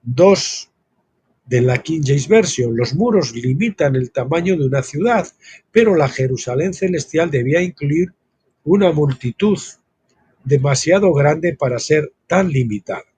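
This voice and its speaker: A man's voice: fairly high pitch, animated, measured pace, darkish tone, breathy edge, dry recording. A man reading a Bible study book aloud and breaking off into casual asides of his own, like a teacher. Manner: reads text aloud, then breaks off with informal asides.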